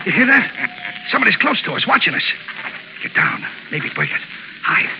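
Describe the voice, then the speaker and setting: A man's voice: high-pitched, wildly swooping pitch, moderate pace, creaky, full character voice. A man playing a jittery, tough-talking crook in a 1930s radio drama, with clipped gangster slang. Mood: alarmed. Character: jittery, tough-talking male escaped crook, gun-ready henchman. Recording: noisy, muffled 1930s radio broadcast.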